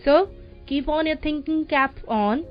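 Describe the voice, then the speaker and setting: A woman with a Nepali accent presents a children's storytelling show on radio. Her voice sits fairly high, and she phrases things deliberately for young listeners. The audio is somewhat dull and lo-fi, with faint music behind her.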